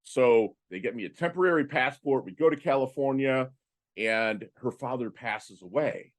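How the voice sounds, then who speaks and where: A man in his fifties, a podcast guest, telling his life story in a casual, animated way with many fillers and restarts. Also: medium pitch, moderate pace. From Philippines. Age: 50s. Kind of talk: casual interview talk.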